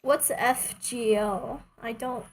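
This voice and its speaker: A woman's voice: on the high side, steady tone, takes it slowly. A woman who speaks English as a second language, chatting casually as she streams a game. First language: not English. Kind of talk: casual livestream gameplay chat. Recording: game music behind the voice. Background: game music.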